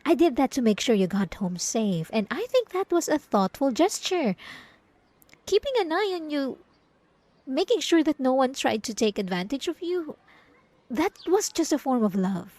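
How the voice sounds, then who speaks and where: A woman's voice: sits high, big pitch swings, darkish tone, no echo. Woman acting as a coy, possessive yandere ex-girlfriend. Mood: indignant, cloying. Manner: coy, teasing, mock-innocent, drawn-out pet names. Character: possessive, gaslighting yandere ex-girlfriend, sweetly menacing. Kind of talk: ASMR roleplay acting addressed to the listener.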